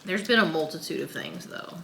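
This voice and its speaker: A woman's voice: low voice, animated, brisk, creaky, fairly bright tone, a little hiss or hum. Dry-witted young woman teasing her partner. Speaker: co-host, wife. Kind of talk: casual mukbang chat.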